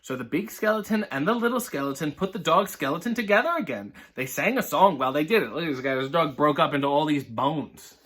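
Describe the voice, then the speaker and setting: Bright-voiced man, wryly reading aloud. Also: fairly high pitch, fast, few pauses. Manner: sardonic, deadpan asides. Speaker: storyteller reading a children's picture book. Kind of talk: storybook reading with wry comic asides.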